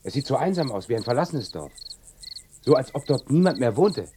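A man's voice: medium pitch, very animated, a little fast, background noise, natural voice. An actor playing an earnest, puzzled traveller searching for his father in a radio play, with a questioning manner. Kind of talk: German radio play acting.

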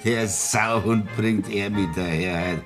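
An actor with a Bavarian accent playing a tipsy, sentimental farmer in a radio play. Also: male voice, fairly low pitch, measured pace, faint music behind, close to an everyday voice. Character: drunk old farmer mourning his friend. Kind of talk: 1960s radio play acting.

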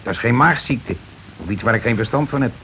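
A gruff working-class husband from a radio play, an ex-soldier who blusters, dodges and schemes in colloquial speech. The old recording is dull, with some noise behind him. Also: male voice, mid-range voice, animated, fairly quick, sharp tone. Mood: know-all. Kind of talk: Dutch radio play acting.

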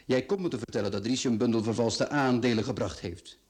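Radio drama: male actor as brash property boss, Flemish accent, clipped sarcastic delivery, medium-pitched. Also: fast talker, a little mellow, close to an everyday voice. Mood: sardonic, grim. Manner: curt, sarcastic, bossy.

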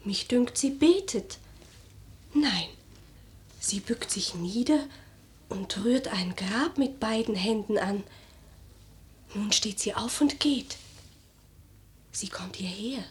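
A woman's voice: medium pitch, lively intonation, slow, pauses often. A woman playing a young, homesick, lovesick maidservant in a radio play, wistful and plaintive. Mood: hushed, watchful. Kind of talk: theatrical German radio play acting.

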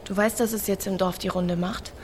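A woman's voice: medium pitch, steady tone, brisk, slight background noise, lightly acted. Wary, blunt teenage village girl, played by a woman. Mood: uneasy, insistent. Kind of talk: naturalistic radio drama dialogue.

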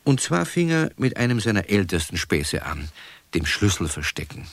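A male storyteller narrates a children's tale. His voice is very low, with lively rises and falls, and it sounds dry. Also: moderate pace, creaky, no room sound.

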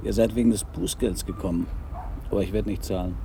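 An actor playing a calm, stubborn, courteous eccentric in a German radio crime drama. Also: male voice, deep voice, fast, a little hiss or hum, close to an everyday voice.

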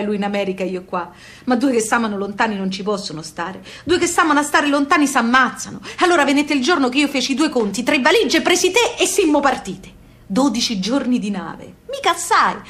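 A woman's voice: fairly high pitch, fairly bright tone. An actress playing an earthy, loving, stubborn emigrant mother who tells her story in a theatrical monologue with a Southern Italian accent and rhythmic repetitions. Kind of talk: theatrical monologue in dialect-tinged speech.

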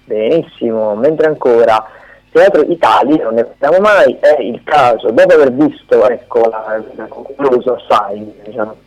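A man chatting unscripted on the radio as he runs through theatre listings, halting and often correcting himself. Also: medium pitch, darkish tone, poor audio. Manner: hesitant, halting, self-correcting. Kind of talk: unscripted radio chat, event rundown.

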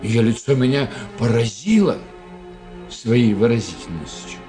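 Casting: male actor as teasing, observant doctor for radio play; unhurried, swooping intonation, theatrical pauses. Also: medium pitch, animated, warm tone, soft background music, natural voice. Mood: intrigued, describing. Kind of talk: Russian radio drama acting.

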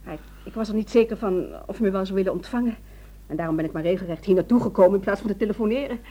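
An actress in a Dutch radio drama playing a worried, determined wife who speaks in an earnest, pleading way. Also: female voice, mid-range voice, rapid-fire, warm tone, close to an everyday voice. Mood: hesitant. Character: worried, determined wife seeking help for her secretive husband.

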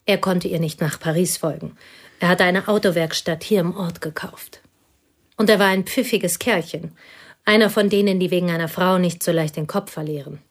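A woman's voice: on the low side, normal speed. A bitter woman whispering confessions. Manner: hushed, intense, often whispering. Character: bitter lonely woman confiding old betrayals. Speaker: actress. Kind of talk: radio play acting.

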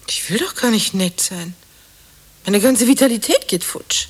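Breathy, sulky woman. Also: mid-range voice, animated, measured pace. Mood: defiant. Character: discontented mature wife, defiant and brooding. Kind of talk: radio drama dialogue.

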